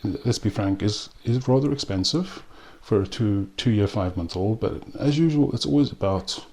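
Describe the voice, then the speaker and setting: South african guy doing a chill rum review, lowish voice, lots of ums. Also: darkish tone. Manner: unhurried, with frequent ums and restarts. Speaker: spirits reviewer. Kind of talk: casual spoken tasting review.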